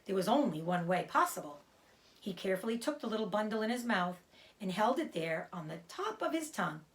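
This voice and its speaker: A woman reading a children's book aloud as an expressive storyteller. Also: mid-range voice, brisk.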